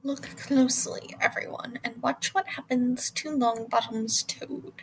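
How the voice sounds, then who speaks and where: A woman playing a cold, spiteful male schoolteacher in an audio drama, speaking with breathy, deliberate disdain. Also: on the high side, fairly even intonation, slight background noise, lightly acted, rough recording. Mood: menacing. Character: cold sneering male potions teacher, spiteful. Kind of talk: fan audio drama acting.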